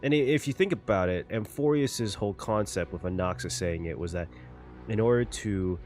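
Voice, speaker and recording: A man's voice: on the low side, moderate pace, darkish tone, soft background music. A man giving casual reaction commentary on a game story, thinking aloud and rephrasing as he theorizes.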